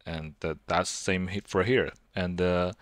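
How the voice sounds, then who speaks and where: Young Chinese-accented man, very low voice. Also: steady tone, unhurried, no echo, clean studio sound. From China. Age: 20s. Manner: rambling, self-deprecating, frequent fillers and restarts. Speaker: vlogger. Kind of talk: casual talk to camera over gameplay.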